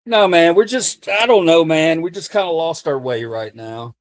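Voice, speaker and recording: A man's voice: a little high, normal speed. An American man streaming live and chatting to his viewers in a casual, impassioned way, often restarting and repeating himself. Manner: earnest, impassioned, repeats himself while speaking off the cuff. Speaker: livestreamer talking to chat. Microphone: streaming desk mic.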